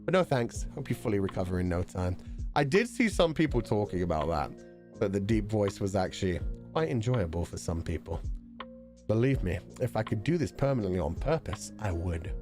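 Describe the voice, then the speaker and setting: A man's voice: lowish voice, expressive, a little fast, a little mellow, faint music behind. Laid-back man with a sore throat. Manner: easygoing, laughs often, swears casually. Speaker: livestreamer. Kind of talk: casual chat reading viewer donations.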